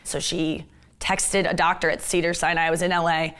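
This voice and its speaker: A woman comedian with a husky, gravelly voice and strained vocal cords, chatting fast and candidly in an interview. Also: on the low side, little pitch movement, fairly bright tone. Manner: rapid, candid, self-deprecating talk with many fillers. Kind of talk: casual fast-talking interview chat.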